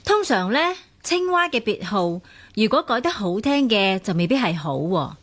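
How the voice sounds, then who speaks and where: A woman's voice: mid-range voice, very animated, normal speed. An actress playing a sassy, worldly young woman who scolds her friend, in a radio drama with a Hong Kong Cantonese accent. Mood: sceptical.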